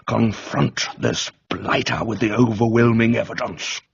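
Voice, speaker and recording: A man voicing a pompous, blustering older army major in an audiobook, with a gravelly, husky voice that jumps to high exclamations. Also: fairly even intonation, a little slow, no room sound, lo-fi sound, heavily acted. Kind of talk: audiobook character voice.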